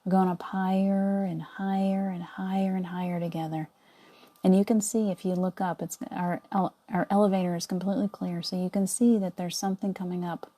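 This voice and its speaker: A middle-aged woman leading a guided visualization meditation in a soothing, coaxing way, often dropping to a soft whisper. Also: fairly low pitch, little pitch movement, a little fast.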